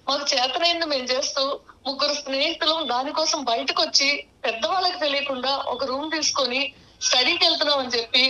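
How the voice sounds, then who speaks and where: Bright, high-voiced young woman, pleading. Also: rough recording. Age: young adult. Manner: pleading, deferential. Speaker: caller seeking advice. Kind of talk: earnest personal account.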